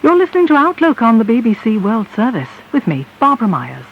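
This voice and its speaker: A woman's voice: a little high, huge pitch swings, fast talker. A British woman presents a radio interview programme. Her phrasing is articulate and probing, with very varied intonation. The sound is a dull radio recording with slight hiss. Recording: muffled hissy shortwave-style radio broadcast.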